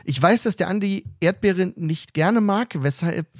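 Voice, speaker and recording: A man's voice: sits high, a little slow. Joking man co-hosting a podcast. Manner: joking, teasing. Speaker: podcast co-host. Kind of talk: casual banter podcast. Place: training camp room.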